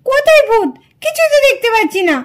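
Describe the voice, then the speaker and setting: A woman's voice: very high voice, very animated, quick pace. A woman voicing an inquisitive, anxious village child in a doll story, full of questions and pleading. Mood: puzzled.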